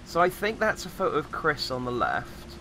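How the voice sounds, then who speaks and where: A man with a slightly croaky voice giving casual, rambling commentary as he plays a game. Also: fairly high pitch, huge pitch swings, moderate pace, music in the background. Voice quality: slightly croaky, recovering from voice loss. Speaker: gamer, host of a let's play.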